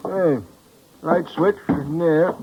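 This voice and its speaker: An actor playing a querulous, grasping old apartment manager with folksy speech in a 1950s radio drama. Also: male voice, fairly high pitch, fairly even intonation, slow. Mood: sly, grasping. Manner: querulous, folksy uneducated grammar. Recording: muffled, noisy old radio recording.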